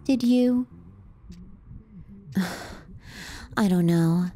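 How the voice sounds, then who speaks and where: Woman doing a clingy mean little sister for asmr roleplay, mellow voice, needling and sardonic, takes her time. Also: mid-range voice, very slow. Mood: teasing, hushed. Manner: sardonic, needling, dropping into hushed murmurs. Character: teasing, callous, clingy younger sister.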